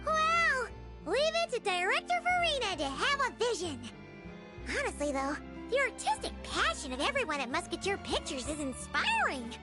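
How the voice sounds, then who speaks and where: A woman voicing a tiny, excitable, chatty female companion who talks about herself in the third person. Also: extremely high pitch, moderate pace, full character voice. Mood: excited. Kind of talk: video game voice acting. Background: game music.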